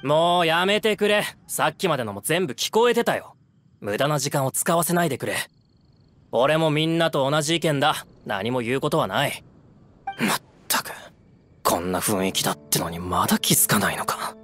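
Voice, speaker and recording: A man's voice: fairly high pitch, lively intonation, normal speed, a bit bright, soft background music. An actor playing an indignant, distrustful young townsman in an anime game, speaking in a curt, accusing manner. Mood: exasperated. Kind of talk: Japanese anime game voice acting.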